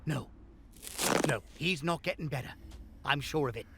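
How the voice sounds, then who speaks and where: An actor playing a callous, quick-tempered looter leader with theatrical mock courtesy in a horror audio drama. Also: male voice, a little high, noisy background, lightly acted. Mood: furious panic.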